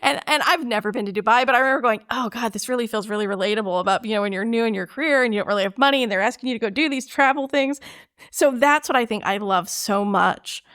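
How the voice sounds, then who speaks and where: A middle-aged woman hosting an interview in casual conversation, telling anecdotes in an animated way and acting out quoted dialogue. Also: on the high side, fast. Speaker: podcast host interviewing a storyteller. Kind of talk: casual chat.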